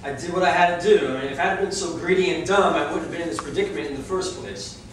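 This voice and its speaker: A man's voice: a little high, fast, natural voice. A man playing a tough, world-weary champion boxer, bitter and defiant, in a staged play reading. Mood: subdued. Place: large echoey room, live staged reading. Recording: very echoey room, distant mic, faint noise.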